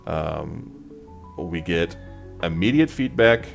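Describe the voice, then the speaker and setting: A man's voice: low-pitched, expressive, slow pace, creaky, soft background music. A man presenting exam advice in an informal tutorial style, talking through slides.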